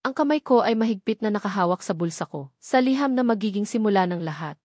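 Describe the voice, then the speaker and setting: A Filipino woman narrates scripted fiction in the first person. Her voice sits at a medium pitch and her delivery is measured, earnest and dramatic. The sound is close and dry, with little room in it. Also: expressive, darkish tone, dry recording. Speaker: story narrator.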